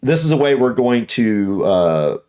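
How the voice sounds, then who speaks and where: A man's voice: mid-range voice, sing-song, unhurried, darkish tone. A man with an American accent hosting a reaction video, talking in a casual, rambling, opinionated way and often repeating himself. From United States. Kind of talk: casual conversational commentary.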